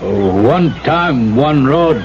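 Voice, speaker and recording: An actor playing a wary, superstitious South Seas islander in an old-time radio drama, speaking halting pidgin English in clipped phrases. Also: male voice, mid-range voice, lively intonation, highs cut off, a little hiss or hum. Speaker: actor in supporting part.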